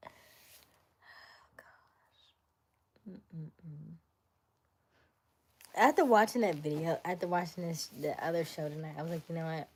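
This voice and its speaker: A woman hosting a relaxed live stream, chatting playfully with her viewers. She talks slowly in a lowish voice and slips into a soft whisper when she reads their comments. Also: slightly rough. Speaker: livestream host.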